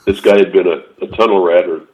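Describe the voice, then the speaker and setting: A man's voice: low voice, fairly even intonation, fast, darkish tone. An older man and author, telling rambling anecdotes with restarts, calling in to a casual interview. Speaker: author guest. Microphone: phone call. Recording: phone line, lo-fi.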